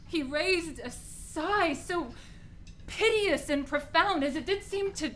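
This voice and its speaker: A woman's voice: sits high, pushed and bright, slight background noise, heavily acted. An actress playing a frightened, obedient young noblewoman, delivering Shakespearean verse earnestly in a radio play.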